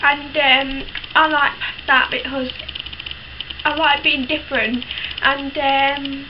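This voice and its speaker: High-voiced chatty young woman. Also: level tone, takes it slowly. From United Kingdom. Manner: chatty, frank, many fillers and restarts. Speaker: vlogger. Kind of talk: casual talk to camera. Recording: dull lo-fi sound with clear background hiss.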